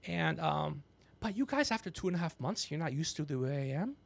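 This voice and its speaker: A gregarious man hosting a podcast, telling rambling comedic stories with animated, teasing asides. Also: a little high, fairly quick, gravelly. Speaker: podcast host. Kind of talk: rambling comedic storytelling on a livestream.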